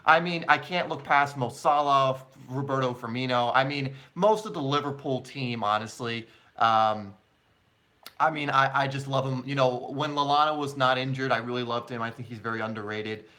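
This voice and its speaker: A man's voice: medium pitch, moderate pace, pushed and bright. A man from England who has long lived in the United States, hosting a soccer live stream and answering questions in a casual, chatty, informal way. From born in England, long living in the United States. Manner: chatty, informal, addresses viewers as mate and guys.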